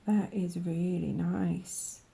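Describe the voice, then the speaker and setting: Slow, croaky woman musing over paints. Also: fairly low pitch, fairly even intonation. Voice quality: croaky, gravelly. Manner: slow, soft-spoken, musing aloud, dropping to a whisper. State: croaky from a cold. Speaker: art vlogger. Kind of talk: casual voice-over while swatching paints.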